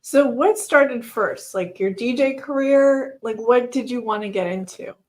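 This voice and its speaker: A woman hosts a live interview show in a bubbly, effusive way. Her voice sits a touch higher than most. She sounds like she is on a video call. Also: unhurried. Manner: bubbly and effusive, laughs often. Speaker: host.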